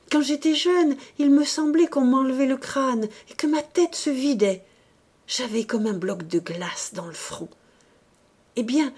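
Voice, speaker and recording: A woman's voice: medium pitch, dark tone, breathy edge. A woman voicing a distraught, guilt-ridden married woman who pleads and confesses feverishly, in dramatic audiobook style. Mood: haunted, agitated. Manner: pleading, exalted, breaking into whispers. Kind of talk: dramatic audiobook character acting.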